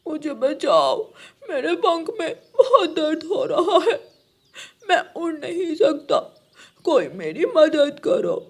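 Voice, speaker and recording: A woman's voice: high-pitched, sing-song, slow, heavily acted. A woman voicing a cocky, whiny, childlike young boy crow in a children's cartoon. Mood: crying, pleading.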